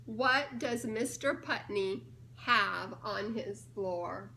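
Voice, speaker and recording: A woman's voice: medium pitch, drawn-out words, creaky, bright, forceful tone, a little hiss or hum. A woman reading a picture book aloud to young children, playfully coaxing them to guess answers in a giggly manner. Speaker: storybook reader, children's ministry director. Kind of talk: children's story time read-aloud with questions to young listeners.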